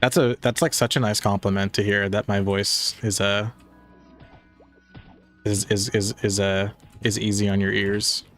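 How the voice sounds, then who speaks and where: A man's voice: fairly low pitch, level tone, a little slow, a bit bright, soft background music. Laid-back young man rambling on stream. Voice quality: slightly nasal. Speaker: game streamer. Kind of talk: casual livestream chat reading chat.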